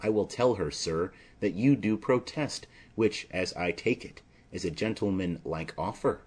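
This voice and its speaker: Audiobook drama: fussy, rambling older servant woman, indignant and exclaiming, slightly husky, unhurried delivery man performs. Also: low-pitched, darkish tone. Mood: chatty, fussy. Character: fussy, chattering older female nurse servant.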